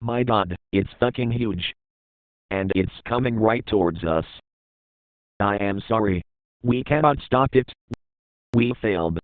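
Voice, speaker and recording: A man's voice: lowish voice, measured pace, long pauses, no room sound, lightly acted. A man playing a despairing news broadcaster in a dramatized doomsday broadcast, grave and emotional. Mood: shocked despair. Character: despairing male newsman announcing the end of the world. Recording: tinny phone-like band, dry.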